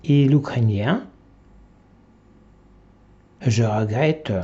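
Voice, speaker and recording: A man teaching a language, reading a textbook dialogue aloud one short phrase at a time, with long pauses for learners to repeat. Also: mid-range voice, warm tone. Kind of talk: slow dictation-style reading for repetition. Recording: dull lo-fi classroom recording.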